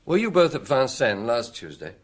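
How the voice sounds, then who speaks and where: A British actor playing a shrewd, avuncular middle-aged police detective in a radio drama, measured, probing and quietly wry. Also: male voice, medium pitch, big pitch swings, lightly acted.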